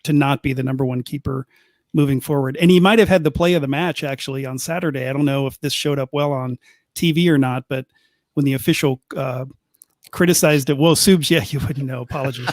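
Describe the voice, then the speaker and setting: A man's voice: medium pitch, a little fast, no room sound, studio quality. A man co-hosting a soccer talk show, chatting casually with frequent restarts. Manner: chatty, restarts sentences, light laughs. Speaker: podcast co-host. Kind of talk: casual chat. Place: press box at the stadium.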